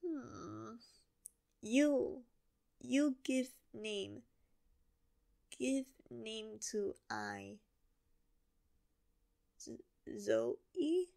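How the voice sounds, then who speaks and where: A woman voicing a sleepy, friendly zombie girl who talks in slow, broken, simple words for an ASMR roleplay. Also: a little high, sing-song, creaky, mellow, heavily acted. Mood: curious.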